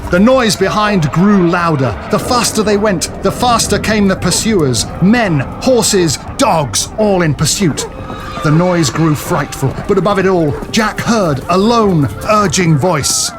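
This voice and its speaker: A man's voice: sits high, expressive, measured pace. A man narrating a radio drama in a dramatic storytelling style. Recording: music and sound effects behind.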